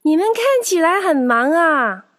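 A bubbly, generous hat-selling girl, put on by a woman narrator in a children's story. The voice is high and swings about cheerfully over music. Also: big pitch swings, a little slow, noisy background, exaggerated voice. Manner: warm, cheerful. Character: bubbly, generous girl who sells hats.

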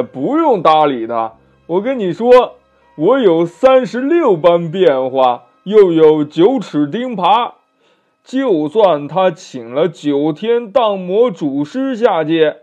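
男人扮演的高嗓门猪妖猪八戒. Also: male voice, a little high, very animated, slow, darkish tone. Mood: boastful. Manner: stammering, blustering, sneering chuckles. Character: boastful, cowardly male pig demon, former heavenly marshal. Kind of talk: children's storybook character voice. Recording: muffled lo-fi audio with music behind.

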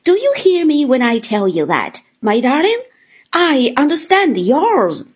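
Lady doing a breathy high sly little chatterbox woman, teasing, bouncy. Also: big pitch swings, a little slow, dull audio. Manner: rattling, teasing, exclamatory.

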